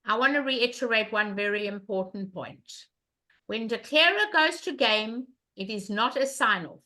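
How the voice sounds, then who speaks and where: Loud, bright-voiced older woman teaching bridge. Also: fairly high pitch, lively intonation, moderate pace. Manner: emphatic, repeats questions to the class. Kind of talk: online bridge lesson.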